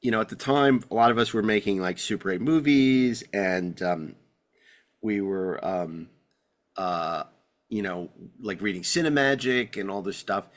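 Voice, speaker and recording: A man's voice: fairly low pitch, animated, a little slow. A middle-aged American man hosting a podcast, chatting casually and enthusiastically in a rambling way. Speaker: podcast host. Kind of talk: casual enthusiastic fan chat.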